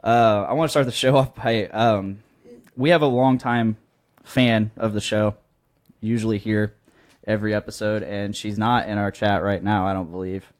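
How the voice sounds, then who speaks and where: A man's voice: fairly low pitch, fairly even intonation, moderate pace. A man in his twenties co-hosting a podcast, chatting casually and telling stories in a joking, sweary way. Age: 20s. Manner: joking, profane. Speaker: podcast co-host. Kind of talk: casual chat and storytelling.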